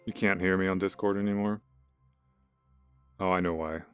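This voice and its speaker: A young man with a deep, low voice chats loosely through a VR headset mic while he streams, rambling and stacking up "like" and "uh" as he thinks aloud. Soft game music drifts faintly underneath. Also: fairly even intonation, moderate pace, clear, resonant tone. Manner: rambling, fills talk with "like" and "uh". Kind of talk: casual live-stream chat.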